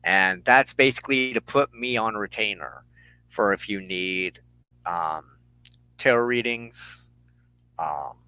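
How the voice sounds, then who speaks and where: Slow-spoken man, low voice, dry sound. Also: bright, forceful tone, clean tone, no room sound, poor audio. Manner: halting, with pauses mid-phrase. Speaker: broadcast host and tarot reader. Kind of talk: informal announcements to followers.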